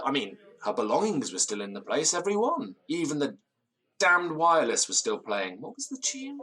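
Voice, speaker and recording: An actor playing a nervous, theatrical, disgraced gentleman in an audio drama, with florid old-fashioned diction and a tendency to stammer. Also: mid-range voice, poor audio. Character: nervous, theatrical, hard-drinking disgraced university gentleman storyteller.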